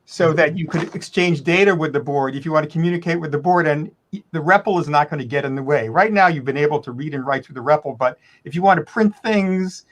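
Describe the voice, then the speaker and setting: A man's voice: fairly high pitch, brisk. A man giving a casual technical demo as a developer, explaining step by step and correcting himself as he goes. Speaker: software developer demonstrating work.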